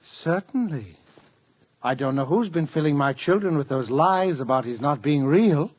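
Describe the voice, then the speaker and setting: A man's voice: a little high, lively intonation, moderate pace, a little mellow. An actor playing a jovial, boastful, drily joking neighbor and father in a 1940s radio comedy. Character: jolly, chatty neighbor, harried father of many. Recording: muffled, hissy old radio show.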